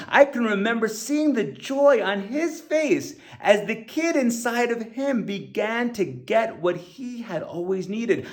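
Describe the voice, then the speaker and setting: A man reads his own first-person memoir as scripted audiobook narration, in an earnest, deliberate manner with emphatic stresses. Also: high-pitched. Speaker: author reading own audiobook.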